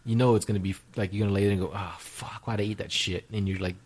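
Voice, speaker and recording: A man's voice: lowish voice, fairly even intonation, fast, darkish tone. A man co-hosting a podcast, stoned and joking around in a crude, giggly way. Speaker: podcast co-host. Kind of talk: crude casual chat.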